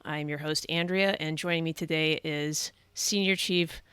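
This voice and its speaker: A woman's voice: low voice, steady tone, normal speed, a bit bright, smooth, no echo. A woman hosting a podcast, chatty and encouraging as she interviews her guest. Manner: chatty and encouraging, with frequent fillers and laughs. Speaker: podcast host, Navy reservist. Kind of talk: casual interview conversation.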